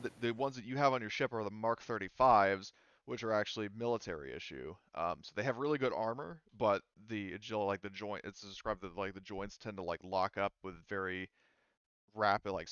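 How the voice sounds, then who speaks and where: Smooth, low-voiced man running a game. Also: fairly bright tone, no echo, lo-fi sound. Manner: halting, explanatory, rambling. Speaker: game master. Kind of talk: casual tabletop game refereeing.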